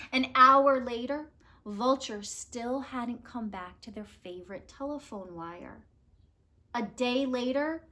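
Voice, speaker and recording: A woman narrating a children's storybook aloud in an expressive, measured way with dramatic emphasis. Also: fairly high pitch. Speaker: storybook reader.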